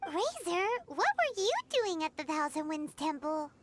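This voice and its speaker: A woman's voice: sits high, big pitch swings, unhurried. A woman voicing a tiny, chirpy, childlike fairy companion in an anime-style game, perky and cartoonish. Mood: matter-of-fact. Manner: perky, cartoonish, refers to self by name. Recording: game music clearly behind the voice.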